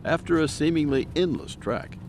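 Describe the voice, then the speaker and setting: A man's voice: on the high side, expressive, moderate pace, a little mellow, slight background noise. A man narrating a scripted audio drama as a dramatic storyteller.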